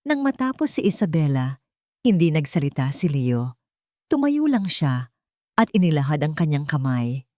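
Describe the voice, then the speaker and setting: A woman's voice: sits low, very animated, normal speed, long pauses, dark tone, dry recording, clean studio sound. A woman with a Filipino accent narrating a romance story in a dramatic, scripted storytelling style.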